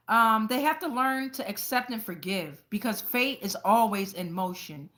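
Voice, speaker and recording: A woman with a somewhat high, sharp-edged, very bright voice hosts a casual tarot reading. She speaks bluntly and swears freely. She keeps checking in with her viewers as she slips between the cards and personal anecdotes. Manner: conversational, addresses viewers directly with frequent check-ins. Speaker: tarot reader and channel host.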